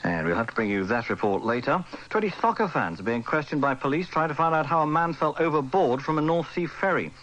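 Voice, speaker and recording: A man's voice: on the high side, lively intonation, fast, hardly any pauses, fairly bright tone, highs cut off. A man with a British accent reading the news formally on the radio. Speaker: radio newsreader. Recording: faintly noisy old radio broadcast.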